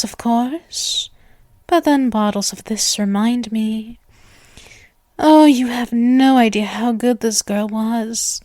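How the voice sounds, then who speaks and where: A woman's voice: fairly high pitch, darkish tone, no echo, clean studio sound. A woman voicing an obsessive, doting, gleefully morbid young vampire in an intimate, theatrical ASMR roleplay monologue. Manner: gushing, theatrical, breathy asides, lovestruck.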